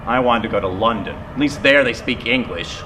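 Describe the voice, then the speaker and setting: An actor playing an earnest, awkward, adoring physicist suitor in a stage play, eager, literal-minded and theatrical. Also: male voice, medium pitch, a little fast, close to an everyday voice. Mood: bewildered. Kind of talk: live stage play acting. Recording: echoey theatre stage recording, room noise.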